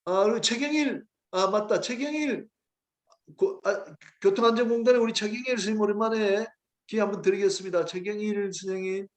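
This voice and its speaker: A man's voice: sits high, brisk. A male professor hosting and moderating an online discussion, polite and encouraging, with many brief acknowledgements. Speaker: host and professor.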